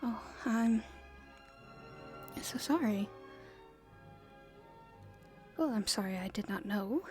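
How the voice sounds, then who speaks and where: Woman playing a whispery, slow-talking young princess. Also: medium pitch, close to an everyday voice. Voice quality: soft, breathy, often whispered. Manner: formal, polite, hesitant. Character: proper young princess at her debut, stifled, secretly rebellious. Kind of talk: whispery ASMR roleplay.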